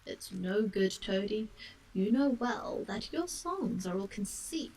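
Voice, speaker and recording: A woman's voice: medium pitch, lively intonation, takes it slowly, a little mellow, distant mic, rough recording. A woman voicing a firm but kind-hearted male water rat, earnest and reasoning, in a storybook.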